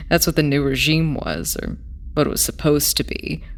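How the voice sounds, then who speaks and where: A woman playing a weary, idealistic former smuggler in an audio drama, telling their past in a hesitant, wistful way with pauses. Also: low voice. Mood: awkward, rueful. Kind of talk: audio drama acting, halting first-person testimony.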